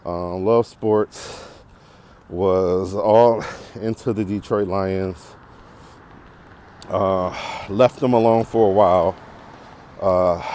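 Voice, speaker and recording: Casual American male podcast host, very low mellow voice, thinks aloud and digresses, clear outdoor background noise. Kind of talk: casual unscripted monologue while walking. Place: outdoors, walking on a path.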